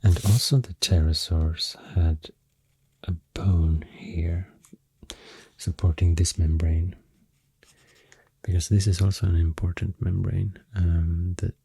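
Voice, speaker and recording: A man with a Swedish accent softly rambling through an ASMR explanation, breathy and often near a whisper, soothing and hesitant in manner. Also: deep voice, flat delivery, slow, dry recording. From Sweden. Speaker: ASMR host.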